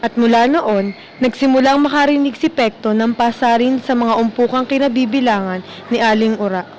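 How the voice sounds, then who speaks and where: A woman's voice: on the high side, normal speed, a little mellow, dull audio. A Filipino woman reading scripted narration for a radio drama. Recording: faint background noise.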